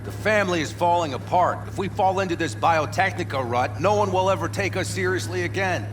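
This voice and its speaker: An actor playing a cynical, teasing veteran nomad smuggler in a video game, with a sardonic, animated delivery. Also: male voice, high-pitched, a little fast, background noise, close to an everyday voice. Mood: bitter.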